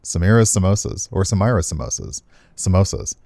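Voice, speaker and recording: A male game streamer narrates and comments casually. His voice is mellow and lowish, with very lively intonation and a playful touch. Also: a little fast, vocal fry, dry recording. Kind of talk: casual commentary and narration read aloud from a visual novel.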